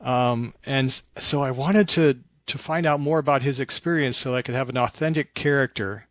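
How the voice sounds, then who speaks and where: A man's voice: medium pitch, no echo. Thoughtful man, close dry sound. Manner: halting and reflective, animated when acting out remembered dialogue. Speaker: podcast guest, author of fiction. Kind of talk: conversational interview storytelling.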